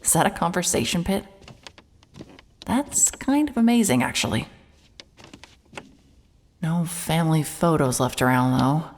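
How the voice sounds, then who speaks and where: A low-pitched female private investigator, carries this mystery audio drama. She is hard-boiled yet nervy, with a wry, muttering way of talking to herself. Ambient noise sits behind her voice. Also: animated, unhurried, long pauses. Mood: curious, muttering. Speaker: lead actor and narrator of an audio drama. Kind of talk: cinematic audio drama, first-person noir narration and case dictation.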